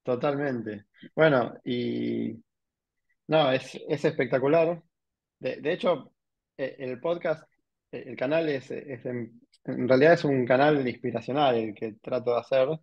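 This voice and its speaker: A man's voice: mid-range voice, unhurried, vocal fry. A man with an Argentine accent hosting a podcast interview, asking rambling, musing questions and checking in with "¿no?". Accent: Rioplatense Spanish. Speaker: podcast host. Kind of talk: casual interview. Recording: dull lo-fi sound.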